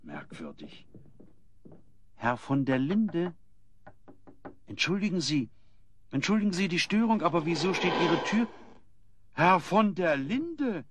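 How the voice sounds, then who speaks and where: A man's voice: on the high side, big pitch swings, slow, pauses often, fairly bright tone, slight background noise, heavily acted. A man playing a gossipy, easily indignant innkeeper in a German audio play, given to astonished exclamations. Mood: puzzled, alarmed. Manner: theatrical, prone to astonished exclamations. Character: indignant, gossipy male innkeeper of a town inn.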